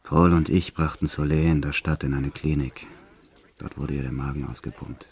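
A hardened, violent gangster tells his own story in a radio drama. His voice is extremely deep. He recounts brutal deeds in a measured, matter-of-fact way, with tenderness underneath. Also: fairly even intonation, a little mellow, breathy edge, full character voice. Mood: subdued, brooding. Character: violent male career criminal confessing his life, brutal yet tender. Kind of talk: first-person radio play narration.

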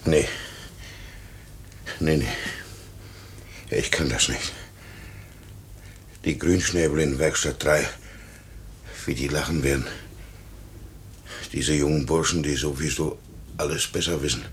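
An actor in a 1950s radio play voicing a broken foreman in his fifties, halting, stammering and repeating himself. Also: a bass voice, a little hiss or hum. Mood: broken, muttering despair. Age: 50s. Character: broken, pleading 58-year-old aircraft works foreman.

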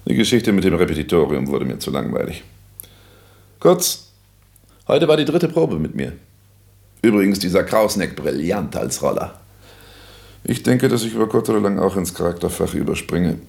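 An actor playing a brash, theatrical young would-be actor in a German radio play, teasing and declamatory. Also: male voice, deep voice, very animated, normal speed, gravelly, long pauses, darkish tone, full character voice. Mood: boastful.

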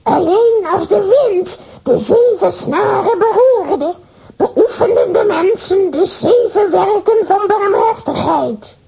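A tiny, sly old witch with an extremely high, shrill voice that swoops up and down. She wheedles and flatters theatrically, drawing her words out very slowly in children's audio drama style. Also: exaggerated voice, poor audio. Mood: sly, storytelling. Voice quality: shrill, creaky. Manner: slow, drawn-out, theatrical wheedling.

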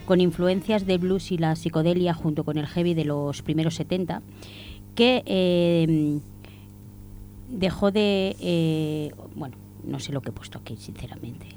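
Spanish woman radio host, lowish voice, chatty and jokey, bit of background noise. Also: a little slow, warm tone. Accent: Spain. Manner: jokey, self-deprecating, informal. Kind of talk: casual, chatty music radio presenting.

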